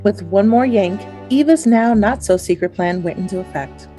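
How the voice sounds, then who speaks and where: A woman's voice: medium pitch, moderate pace, dark tone, lightly acted. Woman as a wry storyteller over music. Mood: wry, gleeful. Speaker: narrator. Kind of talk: scripted radio play narration.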